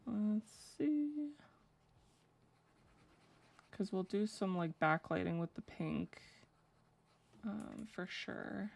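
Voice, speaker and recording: A young woman with a low voice chatting casually and rambling with lots of fillers while she draws on a live stream. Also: sing-song, extremely slow, vocal fry, long pauses, darkish tone. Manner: rambling, filler-heavy, laughs easily. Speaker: streamer drawing fanart.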